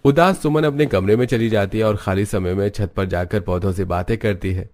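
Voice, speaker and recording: A man's voice: on the low side, brisk, darkish tone. A man narrating a scripted moral story, with noise in the recording.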